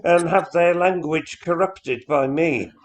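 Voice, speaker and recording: A man's voice: on the high side, slow pace. A Welsh man in a casual chat on a video call, telling a funny pub story with a laugh in his voice. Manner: chuckling storyteller who chants a crowd. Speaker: folk club member.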